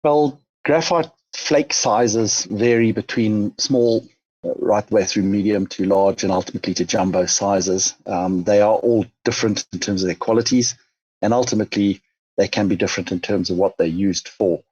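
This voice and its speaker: A man with a Zimbabwean accent, an executive answering interview questions in a measured way, with many fillers and restarts. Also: lowish voice, darkish tone, slightly rough, no room sound, lo-fi sound. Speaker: mining company chief executive. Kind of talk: business interview.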